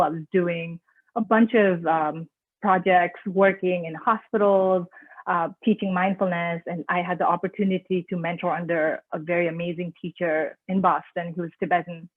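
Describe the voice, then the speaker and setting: A woman from Nepal, a mindfulness trainer, speaking as a guest in a calm, measured, thoughtful way over a video call. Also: lowish voice, dry recording. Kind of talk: conversational webinar interview. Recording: tinny dry phone-like line.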